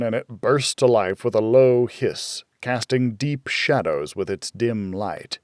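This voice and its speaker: Male audiobook narrator for a dark first-person thriller, dramatic and deliberate, with lively pitch movement in a dry studio sound. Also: no room sound. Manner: deliberate, brooding, dramatic storytelling.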